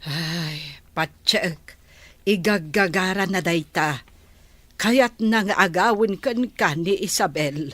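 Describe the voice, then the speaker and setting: A woman's voice: on the low side, slow, a bit bright, no echo. An actress with an Ilocano accent playing a concerned, advising middle-aged village mother in a radio drama. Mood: worried, advising.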